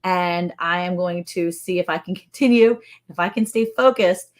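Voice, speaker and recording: A woman's voice: fairly low pitch, moderate pace. Chatty woman in her forties. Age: 40s. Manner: chatty, teasing, greets viewers by name. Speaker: co-host of a ranch livestream. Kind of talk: casual livestream chat.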